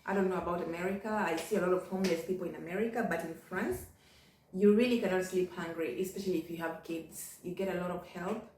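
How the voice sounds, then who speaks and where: A woman's voice: fairly low pitch, fast talker, darkish tone, reverberant, recorded from a distance, slight background noise, poor audio. A middle-aged woman, talking candidly in a casual vlog and often repeating "you know". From Kenya. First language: Ugandan. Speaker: vlogger. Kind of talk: casual reflective monologue.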